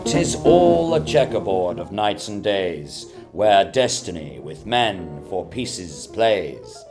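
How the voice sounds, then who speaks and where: Man acting as slow, grandiose old-time radio announcer. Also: low voice, big pitch swings, exaggerated voice. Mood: solemn, poetic. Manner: theatrical, measured, declamatory. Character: grandiose classic American radio drama announcer. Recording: muffled, music behind the voice. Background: guitar music.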